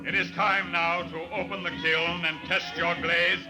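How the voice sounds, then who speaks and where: A man's voice: high voice, little pitch movement, measured pace, loud music behind the voice. A man playing a proud, menacing alien chief in a solemn, formal, declamatory 1950s radio drama style. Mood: ceremonial, grave. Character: menacing alien chief potter, proud, scornful, ritual leader. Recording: noisy vintage 1950s radio recording.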